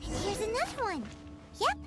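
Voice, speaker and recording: A tiny flying fairy sidekick, extremely high and squeaky. The childlike delivery is perky and cheeky, swinging wildly up and down in classic video game style, with music playing clearly behind it. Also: female voice, sing-song, normal speed. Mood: curious. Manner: refers to itself by name, perky. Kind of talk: video game character acting.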